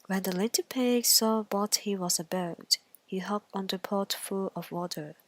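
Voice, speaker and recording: A woman's voice: mid-range voice, unhurried, dry recording. A woman storyteller narrating a scripted children's bedtime story.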